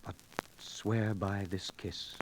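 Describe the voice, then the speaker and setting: An actor playing a bold, passionate young outlaw leader in a 1940s radio adventure, with declamatory archaic speech. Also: male voice, lowish voice, little pitch movement, slow. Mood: ardent, tender. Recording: noisy 1940s radio recording with clicks.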